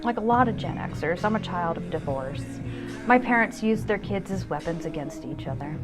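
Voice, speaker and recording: A woman in her fifties narrates a personal essay with a rather low voice. She is wry and candid, reading as the host of her own show, with music clearly playing behind her. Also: lively intonation, moderate pace. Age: 50s.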